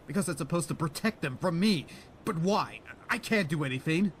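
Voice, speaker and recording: A man's voice: fairly high pitch, expressive, brisk, a little hiss or hum, close to an everyday voice. A man voicing a confused, outcast young pony in a comic dub. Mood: troubled. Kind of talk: dramatic comic dub acting.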